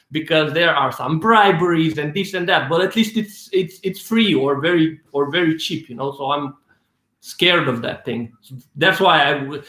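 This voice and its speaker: Excitable Romanian-accented man, high voice. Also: roomy sound. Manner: rambling, many restarts and fillers, swears casually, laughs often. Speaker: filmmaker hosting a live Q&A. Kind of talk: casual live-stream Q&A.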